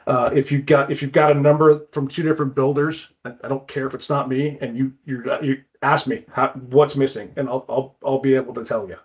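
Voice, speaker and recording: A man with a mid-pitched voice chats as the host of a show. His phrasing is informal and a little halting. The audio is somewhat dull and lo-fi. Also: fairly even intonation. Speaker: podcast host, climbing wall builder. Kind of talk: casual chat.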